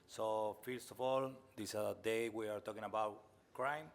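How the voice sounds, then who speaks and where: A man's voice: fairly low pitch, fairly even intonation, measured pace. A man from Argentina with an Argentine Spanish accent, speaking English as a second language, introduces himself on a panel with apologetic jokes. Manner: apologetic, joking. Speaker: panelist, novelist.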